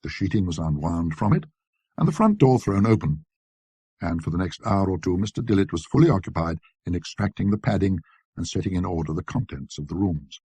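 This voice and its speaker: A man reads a classic ghost story with scholarly precision. His low voice is mellow and a little husky, rising and falling with great animation, in a close, dry recording. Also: lively intonation, fairly quick, no echo. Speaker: narrator. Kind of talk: scripted ghost story narration.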